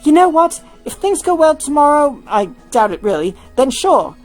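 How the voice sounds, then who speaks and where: Woman acting a wry, higher-pitched young woman. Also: sing-song, normal speed, soft background music. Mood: weary, wry. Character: tired, sarcastic, caring young woman, overworked office adventurer. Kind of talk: fan fiction reading.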